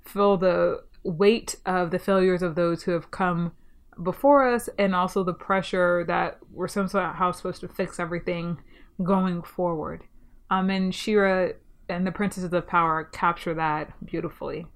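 A woman's voice: lowish voice, fairly even intonation, a little slow. A young woman hosting a solo podcast review, talking in an enthusiastic, chatty way with frequent ums, her voice a little stuffy from a cold. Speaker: podcast host.

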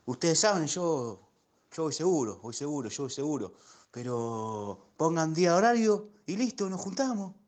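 A man's voice: on the high side, big pitch swings, moderate pace, darkish tone. A man playing a nostalgic, middle-aged Argentine former classmate in a comic radio drama, gushing and repeating his phrases. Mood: eager.

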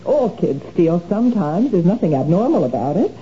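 A nervous guilt-ridden wife. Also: female voice, on the low side, big pitch swings, fairly quick, warm tone, slight background noise. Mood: reminiscing. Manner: defensive, pleading. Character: anxious woman caught shoplifting again. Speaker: troubled wife. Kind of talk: 1970s radio drama acting. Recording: dull old radio broadcast.

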